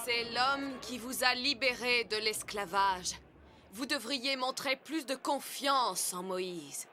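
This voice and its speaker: With a crowd behind, a loyal follower in an animated biblical drama. She admonishes earnestly in a high voice, taking her time over the words. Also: slow pace. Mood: earnest reproof. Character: loyal, reproving woman of a wandering people. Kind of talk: dramatic cartoon film voice acting in French.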